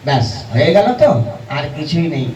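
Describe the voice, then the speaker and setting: Blustery big brother puppet guy acting it out, high pitched, always scolding, echoey and far off. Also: big pitch swings, fairly quick. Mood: grudging, scheming. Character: blustering, exasperated elder brother puppet in folk comedy. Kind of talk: folk puppet show comedy. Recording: echoey distant mic under loud music.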